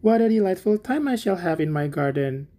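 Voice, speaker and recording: A shy little storybook gardener, acted out by a male narrator, speaks in a lifted, soft-edged voice. He is naive and deferential, always eager to please and humble in how he phrases things. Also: sits high, expressive, a little fast, pitched above the performer's own voice. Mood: hopeful. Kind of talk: storybook character voice.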